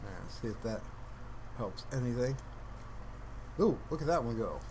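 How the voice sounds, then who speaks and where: A man's voice: mid-range voice, expressive, normal speed, noisy background. A man casually rambling to livestream viewers in a playful, mock-earnest, musing way. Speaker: livestreamer hosting his own stream.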